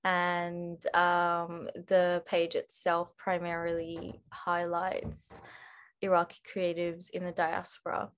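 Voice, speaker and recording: A woman, introducing herself on an online panel in an unhurried way, with many pauses, over a video call from a room at home. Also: on the low side, monotone, clear, resonant tone. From Australia. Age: 20s. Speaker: panel speaker, writer and actress.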